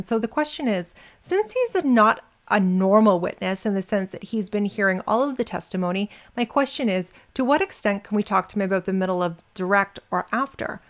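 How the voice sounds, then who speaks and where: Woman reading aloud with casual asides. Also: mid-range voice. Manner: informal, wry asides. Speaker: reader and commentator. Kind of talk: reading court transcript aloud with casual commentary.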